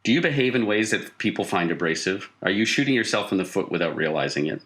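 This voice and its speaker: A man runs an improvised tabletop game as its game master. His voice is fairly low as he narrates and explains to the players. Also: animated, fast, fairly bright tone.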